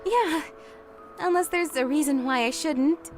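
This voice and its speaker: A woman playing a sweet, playful, gentle aunt in an anime-style game, speaking softly. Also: high voice, a little slow, soft background music. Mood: gentle, tender. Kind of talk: anime-style visual novel acting.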